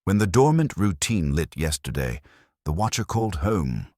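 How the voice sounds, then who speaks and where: A man's voice: low voice, very animated, moderate pace, mellow, no room sound, studio quality. A man voicing a wary, terse corporate security man who reports in a clipped, serious, factual manner. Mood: guarded, serious.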